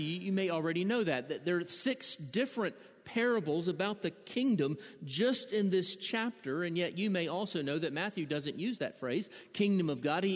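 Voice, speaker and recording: A pastor delivers a sermon in a high voice, with long reverb from a large church sanctuary around him. He stammers often and repeats words as he works through his points. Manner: frequent stammered word repeats.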